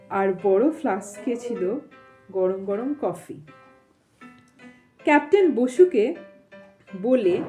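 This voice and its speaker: Woman storyteller, high voice, lively swooping intonation, expressive suspenseful narration, soft guitar music behind. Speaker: storyteller, audio story channel host. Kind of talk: dramatic reading of a Bengali ghost story with casual asides.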